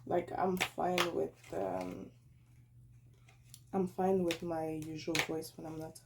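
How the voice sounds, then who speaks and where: Slow-talking young woman with a raspy voice. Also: deep voice, big pitch swings, very noisy. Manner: rambling, self-correcting, chatty. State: tired, slightly hoarse. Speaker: snack reviewer vlogger. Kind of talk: casual vlog, taste-testing snacks. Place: at home, indoors.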